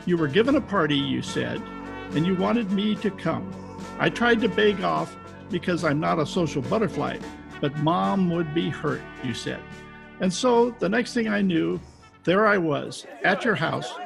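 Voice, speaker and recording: A man's voice: sits high, vocal fry, exaggerated voice. A man playing a tough, sardonic private detective in old-time radio drama style, recorded at home over a video call. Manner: hard-boiled, sardonic. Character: tough, wisecracking male private detective, impatient with sentiment.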